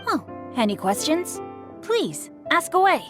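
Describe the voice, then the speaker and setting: A woman's voice: sits high, big pitch swings, unhurried, music in the background. Refined, bookish teenage boy. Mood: breezy, friendly. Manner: courtly, eloquent. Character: refined, bookish, courteous teenage young master. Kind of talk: video game voice acting.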